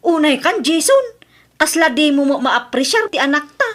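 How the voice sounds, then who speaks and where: A woman's voice: high-pitched, very animated, moderate pace. A woman with an Ilocano accent playing a brash, defensive, argumentative mother in a comedy audio drama. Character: brash, defensive mother, stubborn debtor, quick to argue.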